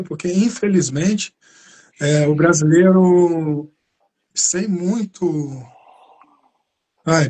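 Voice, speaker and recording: A man's voice: a little high, a little mellow, breathy edge. A Brazilian man hosting a live interview stream, chatting casually, thanking viewers by name and often restarting his sentences. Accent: Brazilian Portuguese. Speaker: channel host.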